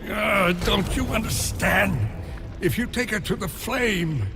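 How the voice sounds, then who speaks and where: A tormented sneaky old giant smith god, video game cutscene, talks slow n theatrical, music behind. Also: male voice, high voice, gravelly, heavily acted. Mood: pleading. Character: anguished, scheming old giant smith god, pleading father. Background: cinematic game music.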